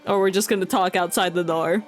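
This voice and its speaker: A woman's voice: fairly low pitch, fairly even intonation, fairly quick. A woman game streamer giving casual, playful running commentary and jokes during a playthrough. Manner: joking, playful. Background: faint game music.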